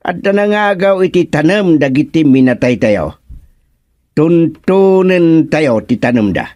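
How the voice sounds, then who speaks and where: An actor playing a bewildered, stubborn village father with an Ilocano Filipino accent, in drawn-out, exclamatory radio comedy-drama style. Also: fairly high pitch, big pitch swings, darkish tone, no echo. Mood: alarmed, bewildered.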